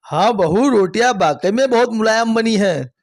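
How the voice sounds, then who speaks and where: A woman's voice: on the high side, animated, moderate pace, darkish tone, no echo, voice pushed down. An approving, teasing man of the household, put on by a woman storyteller talks in a storybook tale. The voice is dropped well down yet still light for a man, and sounds very dry. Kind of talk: dramatized storybook dialogue.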